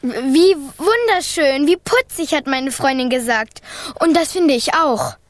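Very high-voiced little girl. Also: animated, measured pace, dry recording. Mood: eagerly placating. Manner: eager, placating. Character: polite, quick-witted six-year-old girl. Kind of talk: children's radio play acting.

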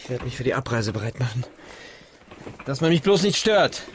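A man acting a despairing young jilted bridegroom in a German radio drama, with a breathy, broken delivery. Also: mid-range voice, sing-song, fairly quick, slight background noise, natural voice. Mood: curt, agitated.

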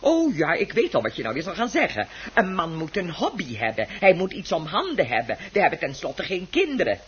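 An actress playing a scornful, nagging middle-aged wife in a Dutch radio play, sarcastic and cutting. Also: female voice, fairly low pitch, huge pitch swings, a little fast, a little hiss or hum. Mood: mocking, disgusted. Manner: sarcastic, cutting, mocking repetition. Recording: muffled, lo-fi old radio recording.

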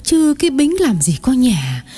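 Woman acting a high-voiced, fussing old village aunt. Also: huge pitch swings, measured pace, dark tone, husky, heavily acted. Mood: pitying, fussing. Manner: exclaiming, chatty, sighing over misfortunes. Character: fussing, kind-hearted older village aunt, startled and pitying. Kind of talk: acted dialogue in an audio story.

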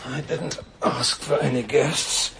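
A man's voice: medium pitch, slow pace, vocal fry, heavy background noise. A weary, despairing Scottish man. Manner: weary, flat, withdrawn. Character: despairing Scottish man after a failed suicide. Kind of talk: radio drama acting.

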